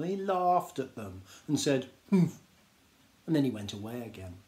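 A man, reading a children's story aloud in an expressive, measured way. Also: medium pitch. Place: small indoor room with bookshelves.

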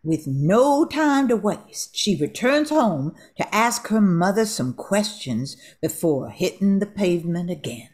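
A woman's voice: mid-range voice, very animated, unhurried, hardly any pauses, a little mellow. An older woman reading scripted narration for a radio drama.

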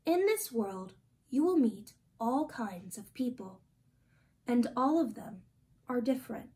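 Storytime reader: woman, 20s, high pitch, unhurried delivery, gently expressive, reading to kids. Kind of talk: reading a children's picture book aloud. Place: small indoor room.

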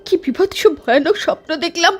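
A woman voicing a frightened village wife who talks in an anxious, shrill way. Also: expressive, fairly quick, fairly bright tone. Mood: shaken. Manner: shrill, anxious, cries out and pleads. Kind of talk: dramatized storytelling.

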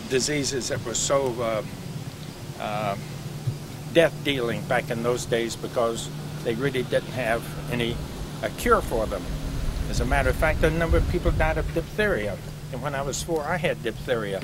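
An older man explains gravestones to a tour group outdoors, chatty and unhurried, stopping often on "uh" and starting over. His voice sits at an ordinary pitch and comes through a camcorder from a few feet away. Steady traffic noise runs behind him. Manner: chatty, explanatory, with frequent uh fillers and restarts. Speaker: cemetery tour guide. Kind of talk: outdoor guided walking tour talk. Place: outdoor cemetery among trees. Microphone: camcorder mic from a few feet away.

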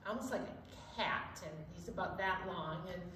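A woman's voice: fairly low pitch, normal speed. A middle-aged woman hosting a children's storytime and chatting in a library. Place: indoor storytime set at a library. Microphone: distant camera microphone. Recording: roomy, distant mic, steady background noise.

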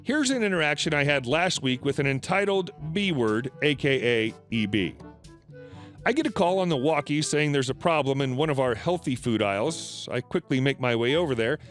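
A man narrating reddit retail stories aloud, reading in a lively, animated way. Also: moderate pace, soft background music. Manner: lively, animated reading with shifting pitch for quoted customers. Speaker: story narrator.

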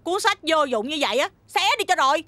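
A woman's voice: high-pitched, expressive, quick pace, sharp tone. A woman voicing a chatty, indignant teenage girl in a cartoon dub. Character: teenage girl, scatterbrained then sharp-tongued.

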